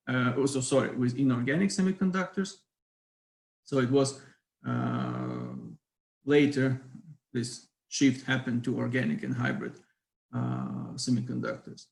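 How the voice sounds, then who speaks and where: A man in his thirties with a Ukrainian accent, giving an online physics lecture. He hesitates often and fills pauses with "uh". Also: medium pitch, creaky, darkish tone, reverberant. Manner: hesitant, many fillers. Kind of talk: online academic talk.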